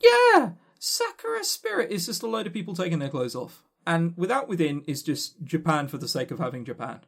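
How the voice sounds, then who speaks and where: A man co-hosting a podcast, chatting casually and opinionatedly about games in a halting, animated way. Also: a little high, vocal fry. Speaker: podcast co-host.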